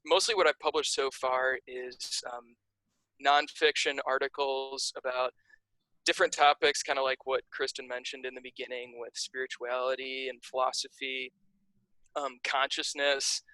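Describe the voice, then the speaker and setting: A man's voice: mid-range voice, no room sound, poor audio. A young man from the Chicago area with a Chicago accent, a podcast guest chatting loosely with lots of fillers and restarts. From Chicago area, USA. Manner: relaxed, rambling, many fillers and restarts. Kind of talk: casual interview chat.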